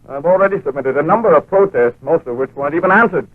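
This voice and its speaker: Casting: firm, earnest embassy attaché and narrator, emphatic delivery, thin narrow-band 1940s radio sound. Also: male voice, a little high, animated, brisk, no room sound. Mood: pressing. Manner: authoritative, emphatic.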